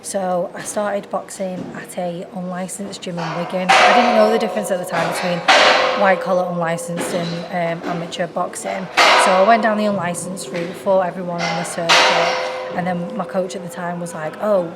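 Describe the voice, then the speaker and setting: A woman's voice: on the low side, fairly even intonation, moderate pace, loud music behind the voice, poor audio. A young woman boxer from Warrington with a Northwest English accent, chatting in an interview and laughing often between answers. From Warrington, England. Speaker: professional boxer.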